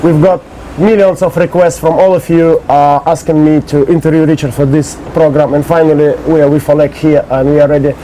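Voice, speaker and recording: A man with a Russian accent hosting an interview outdoors in halting second-language English, nervous and laughing between his questions. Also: fairly high pitch, flat delivery, mellow, a little hiss or hum, poor audio. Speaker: interviewer, host. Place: outdoors on an island.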